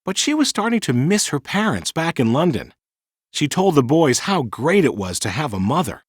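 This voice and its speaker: Animated male storybook narrator. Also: on the high side, normal speed, breathy edge, dry recording, clean studio sound. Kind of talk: scripted children's storybook narration.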